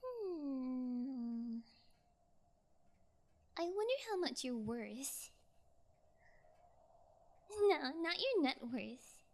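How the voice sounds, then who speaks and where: A woman playing a cocky, callous kidnapper in an audio roleplay, with a flippant, teasing, drawn-out delivery. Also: high-pitched, very animated, a little mellow, heavily acted. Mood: sinister, teasing. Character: cocky, callous sociopathic female yangire kidnapper, flippant and taunting. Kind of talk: ASMR audio roleplay acting.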